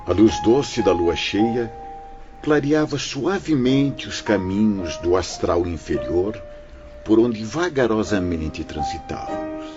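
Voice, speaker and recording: A man's voice: lowish voice, lively intonation, slow pace. A man playing a kindly, earnest spirit missionary recounting his journey in an audio drama, solemn and emotive. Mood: solemn, calm. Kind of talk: audio drama acting, storytelling. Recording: dull audio with background music.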